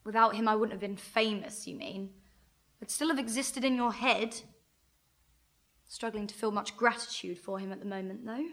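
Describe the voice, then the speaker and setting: Woman as a bright, sardonic adventure heroine. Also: mid-range voice, fairly even intonation, moderate pace, lightly acted. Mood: sullen, dry. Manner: dry, sarcastic, pointed. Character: plucky fictional adventure heroine, sardonic and defiant. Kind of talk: radio play acting.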